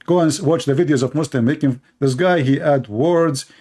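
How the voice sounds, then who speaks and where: A man's voice: a little high, fairly quick, mellow. A man, hosting a commentary show and mocking video clips in a sarcastic, rambling way with many fillers.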